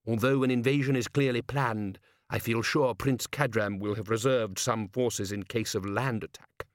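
A man voicing an urbane, commanding male city ruler in a measured, formal, deadpan manner for an audiobook. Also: mid-range voice, no room sound, clean studio sound, close to an everyday voice. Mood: calm, calculating.

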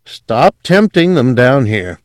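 A man's voice: medium pitch, sing-song, slow, no echo, voice pushed up. Brief: a male reader as a shaken young man, clipped and urgent, in a dry close sound. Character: young man, tense and alarmed.

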